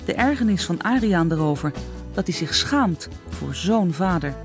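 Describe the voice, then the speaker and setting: A radio drama narrator reads a scripted recap. The voice sits at a medium pitch, and music runs clearly behind it. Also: animated, normal speed. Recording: music under the voice.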